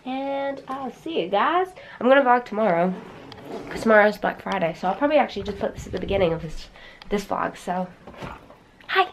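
A young woman with a hoarse voice that has gone deep from a cold, chatting casually to a handheld camera, giggly and rambling with many fillers. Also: expressive, a little fast, slight background noise. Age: young adult. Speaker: vlogger. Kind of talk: casual vlog chat. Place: bedroom at home, then staff room at work. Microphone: handheld camera at arm's length.

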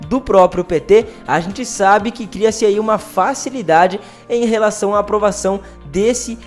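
A man's voice: high voice, normal speed. A Brazilian man with a Brazilian Portuguese accent, hosting a news commentary on pension benefits, talking straight to viewers and leaning on filler words. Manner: addresses viewers directly, repetitive filler "aí". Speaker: channel host. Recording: faint background music.

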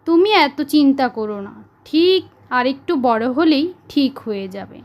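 A woman voicing a calm male dog who gently comforts his friend in a storybook tale. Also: high voice, a little slow, lo-fi sound. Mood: comforting. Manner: soothing, reassuring.

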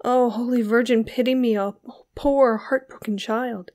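Tender, anguished young woman, high and plaintive , acted by a narrator. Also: fairly even intonation, unhurried, darkish tone, natural voice. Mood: grieving. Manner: plaintive, pleading, archaic phrasing. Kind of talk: dramatic literary audiobook reading.

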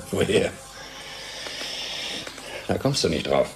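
An actor playing a gloomy, disillusioned husband in a German radio play, speaking in an emphatic, brooding way. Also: male voice, low voice, very animated, slow pace, soft background music, heavily acted. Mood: weary. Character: disillusioned middle-class husband, agitated and gloomy. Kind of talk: radio drama acting.

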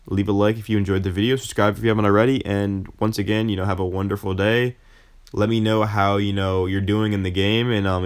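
A young man talking casually over gameplay as a YouTuber, rambling with lots of fillers and restarts. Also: on the low side. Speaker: gaming YouTuber.

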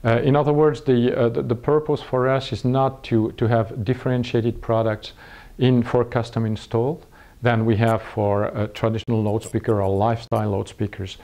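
Mellow, halting Frenchman in his fifties. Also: mid-range voice, little pitch movement. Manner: halting, searching for words. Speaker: company executive presenting products. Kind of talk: product interview, explaining. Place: small indoor showroom room with curtains.

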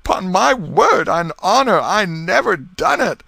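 Man voicing a wailing, terrified accused man, high-pitched. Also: very animated, a little slow, creaky, sharp tone, breathy edge, no room sound, full character voice. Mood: tearful pleading. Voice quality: wailing, moaning. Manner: pleading, rustic folksy speech. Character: sobbing, terrified accused man, helpless and broken.

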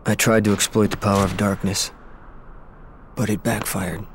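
Man as brooding, low-voiced young swordsman. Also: flat delivery, moderate pace, slight background noise, lightly acted. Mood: brooding regret. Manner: quiet, terse, understated. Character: brooding, aloof young swordsman, weary and guarded. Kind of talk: dramatic video game character acting.

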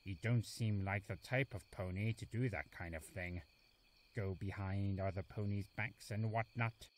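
Guy doing a low gruff loner monster for a cartoon, curt, dry, couldnt care less. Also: a little slow, natural voice. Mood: dry, guarded. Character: gruff, lonely, aloof male forest beast. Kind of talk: animated fan drama acting.

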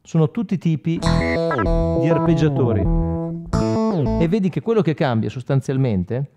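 Man with guitar music behind. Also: fairly high pitch. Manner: informal, spontaneous, broken phrases. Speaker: guitarist demonstrating an effects pedal. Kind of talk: casual product tutorial. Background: electric guitar with effects.

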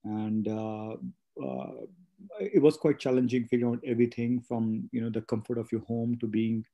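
A man's voice: mid-range voice, steady tone, normal speed, mellow. A man in his late thirties from India, talking through his career in a casual interview, rambling with many fillers and restarts. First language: not English. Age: late 30s. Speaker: guest, spa business owner.

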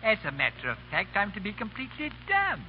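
An actor playing a formal, deadpan, deferential butler in a 1930s radio drama. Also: male voice, sits high. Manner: formal, measured. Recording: muffled, hissy 1930s radio recording.

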